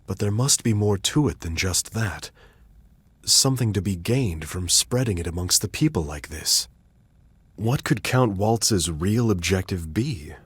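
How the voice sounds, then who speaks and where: A man acting a courteous, thoughtful gentleman adventurer in a video game, speaking in formal, measured sentences. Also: low-pitched, animated, slightly rough, no room sound, exaggerated voice. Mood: puzzled, concerned.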